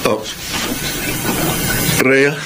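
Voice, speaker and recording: A man acting a hurt, bewildered lover who is being left, melodramatic, in a sentimental radio drama. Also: drawn-out words. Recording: loud hiss and noise.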